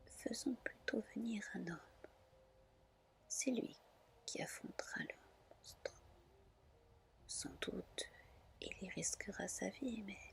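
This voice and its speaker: A woman voicing a shrewd, scheming goddess in a soft, measured, persuasive ASMR storybook reading. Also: medium pitch, gravelly.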